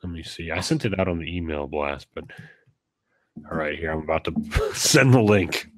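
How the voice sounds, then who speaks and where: Guest podcaster, very low nasal male voice, dry close sound, easygoing rambler. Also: normal speed, no room sound. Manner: relaxed, rambling, laughs lightly. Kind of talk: casual interview chat.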